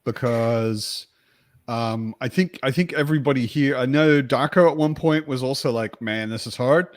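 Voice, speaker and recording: A man's voice: medium pitch, normal speed, no room sound, studio quality. A man hosting a casual book discussion.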